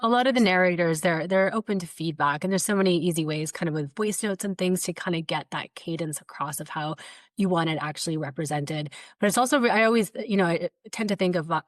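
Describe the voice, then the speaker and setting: Woman moderator, slightly low voice, chatty with lots of fillers, dry close sound. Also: no room sound. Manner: chatty, uses many "like" and "you know" fillers. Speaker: panel moderator. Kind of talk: panel discussion.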